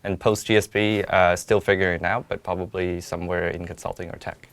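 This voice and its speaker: American male student panelist, young, very low smooth voice, informal delivery, dry sound. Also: flat delivery, brisk, fairly bright tone, no echo. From California, United States.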